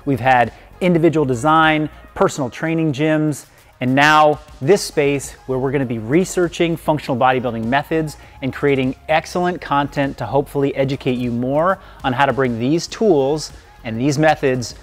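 A man's voice: medium pitch, moderate pace, soft background music. Male fitness coach, 30s, eager tour-guide delivery, lapel mic in a big gym. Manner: enthusiastic, explanatory. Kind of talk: upbeat presenter walkthrough. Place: large indoor gym.

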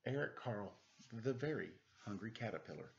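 A man in his forties doing a casual story time, chatting and reading aloud in a playful, expressive way. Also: fairly low pitch, a little fast, creaky. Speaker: storyteller reading a picture book to children. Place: living room at home. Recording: dull, lo-fi webcam sound.

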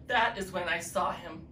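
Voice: mid-range voice, sharp tone. Drag queen storyteller in an echoey room. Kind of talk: children's story reading. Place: living room with fireplace, indoors. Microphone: distant camera mic. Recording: echoey room, distant mic, faint noise.

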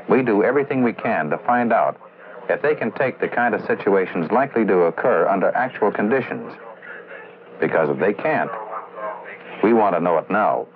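A man playing a seasoned air traffic controller, explaining his job matter-of-factly in a 1960s educational film. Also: lowish voice. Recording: muffled old film soundtrack, faint hiss.

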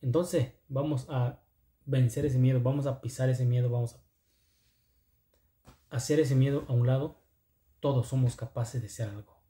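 A man from Oaxaca, a native Zapotec speaker with a Mexican second-language accent, giving casual motivational vlog talk in halting, repetitive, earnest phrases. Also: mid-range voice, steady tone, mellow, echoey room. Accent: Mexican, second-language Spanish with Zapotec influence. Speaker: video channel host.

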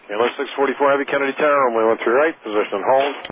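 Fast clipped male air traffic controller on radio. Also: medium pitch. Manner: clipped, procedural phrasing. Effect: radio transmission. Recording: narrowband radio audio with faint static.

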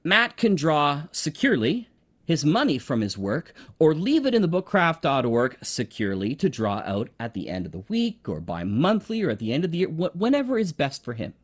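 A man giving an enthusiastic, emphatic promotional pitch in a conversational explainer style. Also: huge pitch swings, moderate pace. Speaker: presenter promoting an online marketplace.

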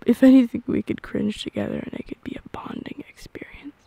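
A woman doing an intimate girlfriend roleplay in ASMR style, soft and breathy, often dropping into a whisper, with a playful, dorky manner. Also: fairly high pitch, steady tone, a little fast.

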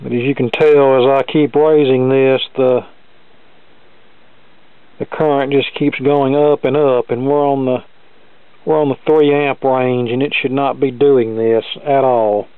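A man talking through a hands-on repair step by step in a plain-spoken, explanatory and measured way. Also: mid-range voice, level tone, smooth, no room sound. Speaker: hobbyist radio repair demonstrator. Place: indoor cluttered electronics workbench. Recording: narrow-band, dull phone-like sound.

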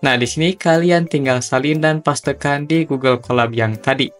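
A man's voice: a little high, normal speed. A young man giving a chatty, slangy, step-by-step tutorial voice-over. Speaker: tutorial host. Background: background music.

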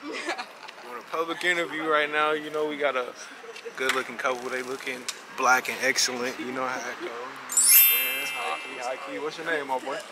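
A man's voice: medium pitch, little pitch movement, fairly quick. A young man hosting a casual street interview at a night party, talking in hyped, playful slang. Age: young adult. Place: outdoor parking lot and loud house party at night. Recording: loud noisy party background.

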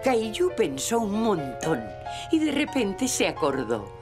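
A woman with a Castilian Spanish accent playing the gentle storyteller who narrates a children's cartoon. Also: mid-range voice, wildly swooping pitch, slow pace. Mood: gentle storytelling. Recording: loud music behind the voice.

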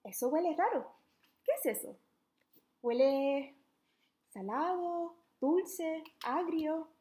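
Young woman, high-pitched, unhurried pace, animated interactive read-aloud for young children, playful. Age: young adult. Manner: animated, questioning, sniffing and reacting playfully to the book. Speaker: social worker reading a children's book. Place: small living room at home, indoors.

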